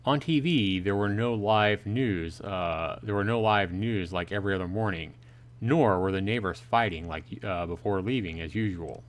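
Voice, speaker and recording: Low-voiced man, casual game livestreamer. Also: moderate pace. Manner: informal, joking, chatty with viewers. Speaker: livestreaming gamer reading a visual novel to chat. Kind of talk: casual livestream play-through commentary mixed with reading aloud.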